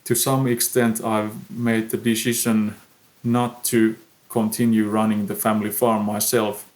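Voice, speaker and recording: A young man with a Finnish accent, a musician answering interview questions in a thoughtful, pausing way. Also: lowish voice, level tone, a little mellow. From Finland. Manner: slow, thoughtful, pausing often. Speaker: musician guest. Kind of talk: podcast interview.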